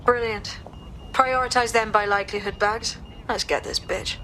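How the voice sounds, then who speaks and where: A blunt but caring female resistance leader. Her voice sits a bit high and lays down the plan frankly. Clear noise runs under her lines. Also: steady tone, moderate pace, sharp tone, low-quality recording. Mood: determined. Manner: blunt, profane, rallying.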